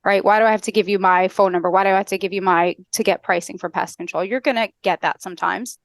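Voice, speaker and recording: A middle-aged American woman on a headset, explaining step by step as a podcast guest in a brisk, structured, instructional way. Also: medium pitch, fairly even intonation, dry recording. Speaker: podcast guest, customer service trainer. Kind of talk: explanatory interview talk with acted-out call examples. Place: indoor room on a video call.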